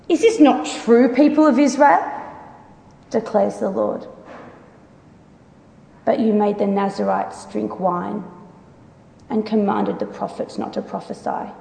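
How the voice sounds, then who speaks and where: A woman reads scripture dramatically at a slow, deliberate pace. Her voice sits fairly high and carries a solemn, declamatory weight. It rings through a hall with long reverb. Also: vocal fry, a little mellow. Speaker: church member reading scripture aloud. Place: large reverberant room.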